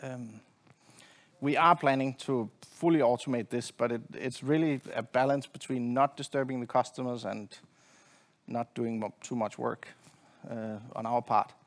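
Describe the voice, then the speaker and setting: A middle-aged man giving a technical presentation from a conference stage in a halting, hesitant way. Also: medium pitch, fairly even intonation. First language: not Swedish. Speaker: conference presenter, network engineer. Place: conference stage at a lectern, indoors.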